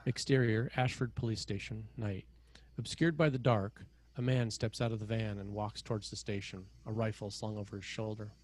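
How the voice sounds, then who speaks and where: A man's voice: fairly low pitch, fairly even intonation, normal speed, darkish tone. A man reading scene headings and stage directions as the narrator of a screenplay table read.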